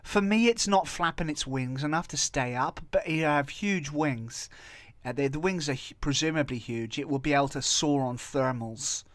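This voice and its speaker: A man's voice: a little high, moderate pace, few pauses, a bit bright, no room sound. A man with a Welsh accent hosting a video, giving casual, rambling off-the-cuff commentary. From Wales. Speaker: video host commenting on viral clips and tabloid stories.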